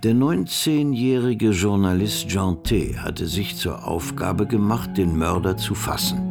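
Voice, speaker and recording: An actor as the measured, matter-of-fact narrator of a radio murder story. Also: male voice, fairly low pitch, creaky, over loud music. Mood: measured, ominous. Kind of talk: scripted radio play narration.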